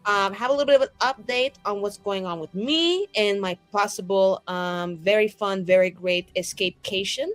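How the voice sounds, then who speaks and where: A woman's voice: medium pitch, moderate pace, few pauses, dry recording, faint music behind, natural voice. A woman playing a brash, affectionate, sweary heroine in a fantasy tabletop game, chatty and rambling. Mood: cheery, chatty.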